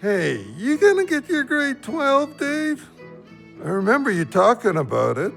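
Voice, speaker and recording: An actor in an audio drama playing a big, dim, soft-hearted sidekick with a guileless, slangy way of talking. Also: male voice, extremely high pitch, huge pitch swings, measured pace, vocal fry, soft background music, full character voice. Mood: slow, mild. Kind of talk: gritty contemporary audio drama dialogue.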